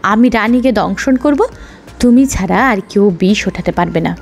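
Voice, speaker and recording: A woman's voice: mid-range voice, lively intonation, moderate pace, a little mellow. A woman voicing a wary but helpful snake in a children's story. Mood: plotting. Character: wary, helpful snake who distrusts humans.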